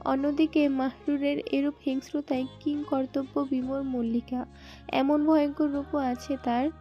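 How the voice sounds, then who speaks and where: A woman narrates a dramatic story from a script. Her voice is very high, the recording is a little muffled, and soft music plays behind her. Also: level tone, measured pace. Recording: muffled band-limited sound, music bed.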